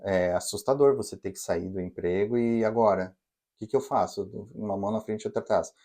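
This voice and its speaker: A man's voice: lowish voice, a little fast, mellow. A Brazilian man co-hosting a podcast, explaining and advising listeners directly in a casual chat. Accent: Brazilian Portuguese. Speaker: podcast co-host. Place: living in Canada.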